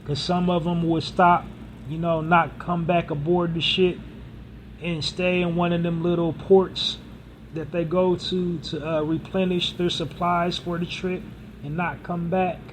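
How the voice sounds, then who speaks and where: Rambling podcast host with traffic noise. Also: male voice, sits high, little pitch movement, unhurried, poor audio. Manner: rambling, casual, many fillers and restarts. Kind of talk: solo podcast monologue.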